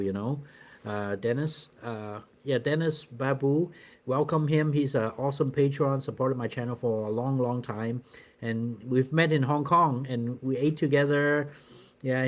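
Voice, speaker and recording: A middle-aged man talks loosely to his viewers on a live stream, with a voice of ordinary pitch. He rambles, restarts sentences and fills pauses with "uh" and "you know". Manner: rambling, many fillers and restarts. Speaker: channel host doing a live stream. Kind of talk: casual live-stream chat answering viewers.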